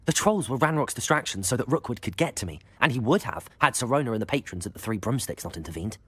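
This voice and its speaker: An actor playing an eager young student wizard with a polite, earnest manner. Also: male voice, medium pitch, lively intonation, fast, husky.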